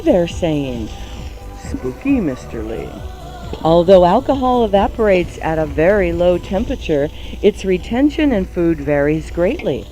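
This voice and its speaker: A woman's voice: fairly low pitch, mellow, low-quality recording. A playful woman hosting a radio cooking show, exclaiming and often laughing through her banter and reading.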